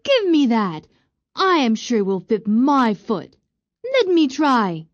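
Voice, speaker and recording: A woman's voice: a little high, very animated, slow pace, dry recording, pitched above the performer's own voice. A woman voicing a spoiled, pushy young stepsister in a storybook tale, exaggerated and whiny. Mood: pushy, straining. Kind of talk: storybook character acting. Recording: dull, lo-fi audio.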